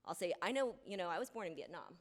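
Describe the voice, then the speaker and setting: Lower-voiced woman panelist asking "right?". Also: lively intonation, fast. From United States. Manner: conversational, often checks in with "right?". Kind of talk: panel discussion. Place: college lecture hall.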